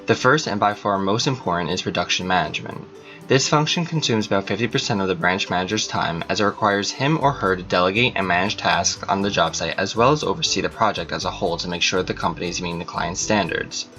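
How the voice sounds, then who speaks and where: Male narrator, deep and bright-toned, brisk scripted delivery. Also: soft background music. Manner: reads evenly from a script.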